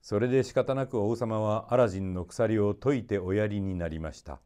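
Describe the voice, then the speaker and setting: A man narrating a children's story expressively, in a polite and measured storytelling manner. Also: on the low side, warm tone, clean tone.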